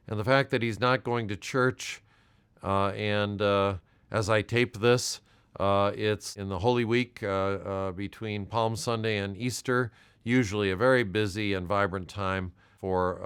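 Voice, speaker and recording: An American man speaking as a volunteer caller, courteous and measured, with hesitant pauses as he talks. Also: lowish voice, fairly bright tone, clear, resonant tone. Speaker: volunteer caller doing a welfare check. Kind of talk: gentle check-in questions and spoken case notes.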